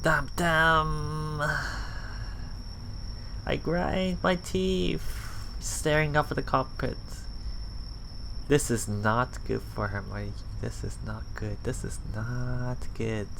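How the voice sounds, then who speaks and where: A man reading a visual novel aloud as a let's play host, halting and self-correcting, with muttered casual asides. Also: medium pitch, fairly even intonation, gravelly, a bit bright, slight background noise, poor audio.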